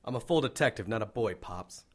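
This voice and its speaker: A man's voice: on the low side, expressive, fairly quick. A man playing an eager, touchy young junior detective in an audio drama, earnest and easily stung. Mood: stung, sulky. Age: young adult.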